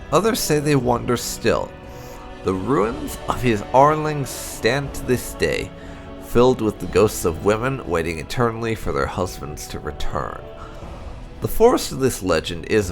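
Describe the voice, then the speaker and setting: A man hosting a gaming channel, reading fantasy game lore aloud in a measured storytelling way. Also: lowish voice, creaky. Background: background music.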